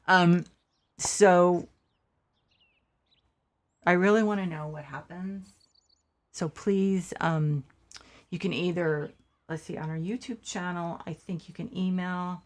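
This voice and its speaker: A middle-aged woman streamer, hoarse from losing her voice, chatting softly and supportively, with many pauses and restarts. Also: fairly low pitch, fairly even intonation. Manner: soft and hesitant, many fillers and restarts. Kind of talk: casual supportive chat during a game stream.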